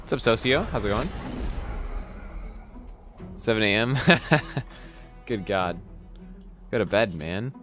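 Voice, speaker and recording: A man's voice: on the low side, animated, slow pace, pauses often, a bit bright, faint music behind. A young man from Texas with a light Texan accent, chatting casually with viewers while streaming a game, rambling with lots of fillers. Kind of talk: casual live-stream chat while playing.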